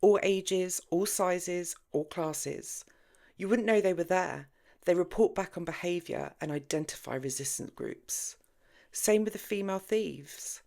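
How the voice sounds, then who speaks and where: A woman's voice: fairly low pitch, measured pace, vocal fry, mellow. Woman acting a grave, disapproving woman friend. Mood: exasperated warning. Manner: earnest, scolding, cautioning.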